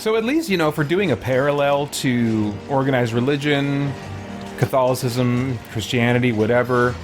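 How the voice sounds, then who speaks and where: A man's voice: medium pitch, moderate pace. A man giving casual let's play commentary as a streamer, rambling as he thinks aloud. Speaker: game streamer. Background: game music.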